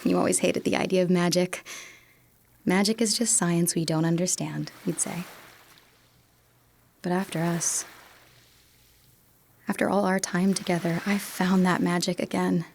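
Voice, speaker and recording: A woman playing Marie, a wistful, teasing young woman in an audio drama, with a slightly gravelly voice and soft breathy asides. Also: on the low side, steady tone, measured pace, pauses often, slight background noise.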